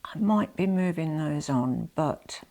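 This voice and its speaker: Low gravelly woman with a nasal cold. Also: animated, takes it slowly. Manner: rambling, frequent "you know" asides, drops to soft near-whispers. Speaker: vlogger showing her handbag collection. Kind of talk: chatty collection vlog.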